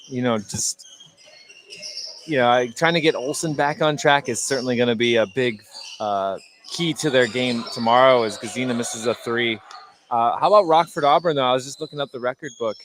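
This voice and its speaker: A man's voice: mid-range voice, moderate pace, a bit bright, a little hiss or hum. A man giving conversational live basketball analysis as a color commentator, often repeating words as he thinks aloud. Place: indoor sports gym.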